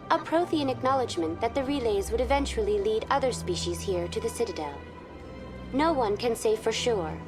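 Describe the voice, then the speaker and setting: Actress as female virtual station guide, game voice, fairly high pitch, polite, formal, composed, scripted delivery, music behind. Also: natural voice. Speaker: information guide.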